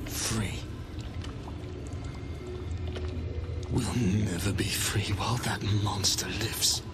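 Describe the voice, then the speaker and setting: Gravelly, broken vampire captive. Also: male voice, on the low side, steady tone, takes it slowly, music in the background. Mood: hopeless. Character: despairing, broken male vampire spawn prisoner, long captive. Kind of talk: cinematic fantasy game acting.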